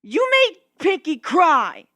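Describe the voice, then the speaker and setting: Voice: very high voice, wildly swooping pitch, takes it slowly, pushed and bright, pitched above the performer's own voice, heavily acted. A narrator voicing a brash, combative young female pegasus pony in a storybook reading, blunt and accusing.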